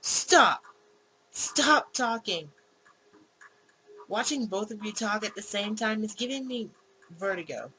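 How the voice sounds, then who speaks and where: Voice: mid-range voice, lively intonation, takes it slowly, pauses often, sharp tone, slight background noise, voice pushed up, rough recording. A game host voicing a blunt, exasperated policewoman girlfriend from a visual novel. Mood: shocked, exasperated.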